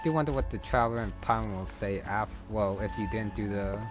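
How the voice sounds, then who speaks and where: A man with a fairly low voice commentates casually on a game he is playing. He muses and hesitates as he thinks aloud, with clear music playing behind him. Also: brisk. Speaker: gamer commentating on playthrough.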